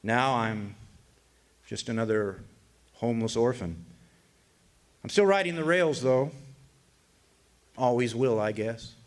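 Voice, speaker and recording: An actor playing a weary older drifter and war veteran, narrating a radio drama in a folksy, hesitant, reflective way. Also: male voice, medium pitch, slow pace, long pauses, natural voice. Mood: wistful, weary.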